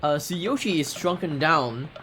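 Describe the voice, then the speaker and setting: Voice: medium pitch, lively intonation, a little slow, pushed and bright, a little hiss or hum. A speaker giving casual, off-the-cuff commentary on a video game speedrun. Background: faint video game music.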